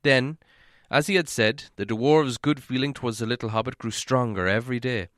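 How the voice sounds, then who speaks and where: A man reading a fantasy story aloud in expressive scripted narration. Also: mid-range voice, moderate pace, dry recording, studio quality. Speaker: audiobook reader.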